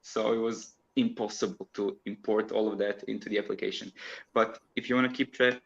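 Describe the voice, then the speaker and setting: A man's voice: fairly low pitch, steady tone, a little fast, creaky, lo-fi sound. A man from Croatia, a guest on a casual online chat, talking in a chatty way and laughing often as he explains.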